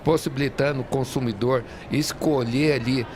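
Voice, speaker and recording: A Brazilian man giving a casual interview as an association leader at a trade fair, halting and repeating words. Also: medium pitch, fairly even intonation. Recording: clear noise of a busy fair.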